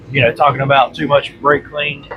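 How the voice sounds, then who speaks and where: A man's voice: mid-range voice, a little slow. A congested man with a chesty voice, chatting casually and rambling in a folksy, colloquial way as he hosts a vlog. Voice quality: congested, chesty with frequent throat clearing. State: congested from bronchitis. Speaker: vlog host. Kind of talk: casual rambling chat to camera. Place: workshop. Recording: steady background noise from running heater. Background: heater noise.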